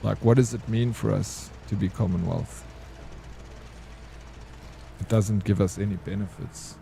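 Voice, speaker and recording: South African man, gaming streamer, relaxed warm tone, casual halting chat, faint noise behind. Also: on the low side, vocal fry. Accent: South African English. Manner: rambling and hesitant, full of ums and restarts.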